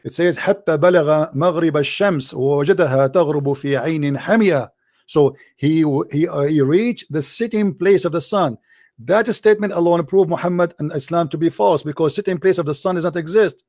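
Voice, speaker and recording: A man whose first language is Arabic argues insistently with a caller, his voice sitting fairly high. He cuts in with strings of "no" and slows right down when he reads passages aloud. The sound is dry and close, with no room around it. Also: a little mellow, dry recording. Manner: insistent, argumentative, repeats "no, no"; slows down to read scripture aloud. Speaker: host. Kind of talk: live call-in debate.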